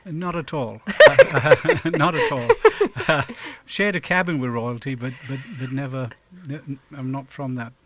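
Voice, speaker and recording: A middle-aged man from South Wales, answering interview questions in a halting way with frequent hesitations and an occasional chuckle. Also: mid-range voice, big pitch swings, fairly bright tone. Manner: halting, lots of hesitations, chuckles now and then. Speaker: guest, volunteer officer.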